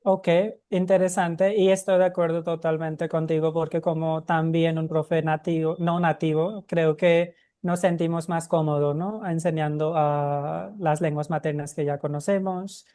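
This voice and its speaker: A man co-hosting an interview podcast, talking in a conversational way and often tagging questions with a quick "no?". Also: a little high, level tone, dark tone. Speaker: podcast co-host. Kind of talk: casual interview.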